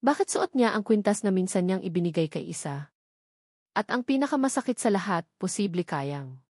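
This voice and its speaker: A woman's voice: medium pitch, lively intonation, normal speed, darkish tone, husky, no room sound, clean studio sound. A woman storyteller giving a scripted, dramatic narration of a romance story.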